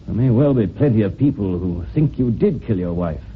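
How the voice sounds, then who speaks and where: An actor playing a shrewd, unhurried police inspector, measured and wry, in an old-time radio drama. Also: male voice, on the low side, lively intonation, close to an everyday voice. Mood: explaining. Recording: dull, hissy old radio recording.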